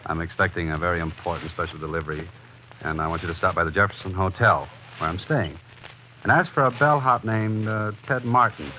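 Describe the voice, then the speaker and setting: An actor playing a tough, cool investigator with slangy, unflustered, needling delivery in 1940s radio crime drama style. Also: male voice, sits low, fairly quick, lightly acted. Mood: casual, instructing. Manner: hard-boiled, slangy, unflustered. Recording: muffled, noisy old radio broadcast.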